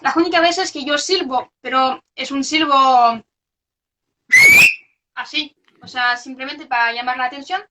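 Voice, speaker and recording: A woman's voice: sits high, steady tone, measured pace, long pauses, heavy background noise. A young woman from Belgium, a researcher whose first language is not Spanish, talking hesitantly with many fillers and restarts in a casual interview. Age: young adult. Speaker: researcher guest. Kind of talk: casual interview over a live video stream. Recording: lo-fi online video call audio.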